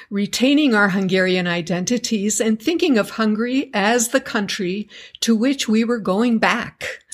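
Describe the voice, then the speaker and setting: A middle-aged woman from the United States, answering interview questions in a measured, articulate way. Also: mid-range voice. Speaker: guest, educators association member.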